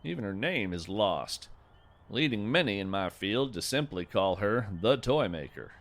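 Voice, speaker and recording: A man playing a gentle, folksy naturalist who hosts a scripted field podcast with earnest, lyrical wonder. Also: lowish voice, expressive. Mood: earnest storytelling. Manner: deliberate, lyrical, earnest delivery. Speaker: podcast host and narrator. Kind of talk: scripted narration with poetry reading.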